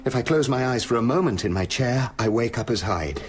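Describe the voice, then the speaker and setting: An actor with a British accent playing a tormented scientist with a sinister other self, speaking formally and intensely in a radio play. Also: male voice, mid-range voice, animated, fairly quick, a little hiss or hum, lightly acted. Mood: weary, haunted. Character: tormented male scientist slipping into his menacing, sinister alter ego. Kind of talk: dramatic radio play acting, confessional monologue.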